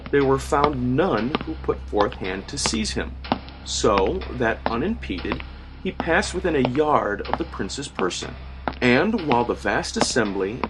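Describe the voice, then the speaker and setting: A man's voice: medium pitch, low-quality recording. Theatrical male narrator over music. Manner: theatrical, measured, formal. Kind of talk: dramatic scripted narration of gothic horror. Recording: music bed under narration. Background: background music.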